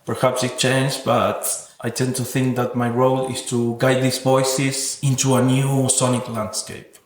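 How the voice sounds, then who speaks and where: A man's voice: medium pitch, level tone, a little slow. A man speaking reflectively and earnestly as an interviewed artist, with hesitant, non-native phrasing. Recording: roomy, echoing sound.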